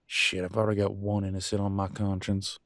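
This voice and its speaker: A man playing a conflicted werewolf pack alpha in an audio roleplay, arguing emphatically in colloquial, sweary speech with dramatic pauses. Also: deep voice, monotone, a bit bright, no echo, studio quality. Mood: defiant. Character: Damien, conflicted male werewolf pack alpha, defiant and remorseful. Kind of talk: scripted audio roleplay, one side of an argument.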